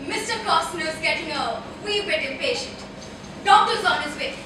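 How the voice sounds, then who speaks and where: Stage comedy: female actor as fussy, cheery, proper hotel manager, high-pitched, distant reverberant auditorium sound. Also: animated, normal speed. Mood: chirpy. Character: chirpy, very proper British hotel associate manager. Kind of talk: amateur stage comedy acting. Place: college auditorium stage, recorded from afar. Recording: echoey auditorium, distant mic, noisy.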